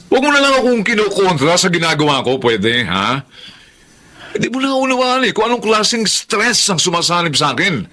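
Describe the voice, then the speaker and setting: Agitated, high-pitched Filipino husband. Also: male voice, sing-song, moderate pace, pushed and bright, exaggerated voice. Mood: irritated. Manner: agitated, insistent, repeats himself. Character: irritable middle-aged husband, compulsive, anguished and restless. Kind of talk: radio drama acting.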